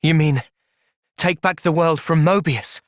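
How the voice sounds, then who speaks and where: A man's voice: fairly high pitch, a little slow, dry recording, studio quality, lightly acted. A young man with a British accent playing an earnest, thoughtful, polite and determined young hero in video game cutscenes.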